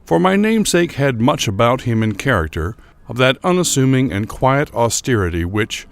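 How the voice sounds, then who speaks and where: A man giving a dramatic first-person literary narration for a horror audio drama, with formal, measured phrasing. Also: medium pitch, very animated, vocal fry.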